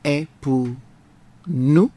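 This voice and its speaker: A man's voice: fairly high pitch, extremely slow, dark tone. A man, a jurist guest on a radio debate, arguing emphatically with a preacherly cadence. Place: radio studio.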